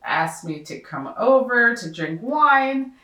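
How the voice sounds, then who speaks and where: A woman's voice: a little high, slow. A woman of thirty from Maryland speaking earnestly in a documentary interview, livening up as she tells a story. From Maryland, USA. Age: 30s. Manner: earnest, animated when storytelling. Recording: distant mic in an echoey room.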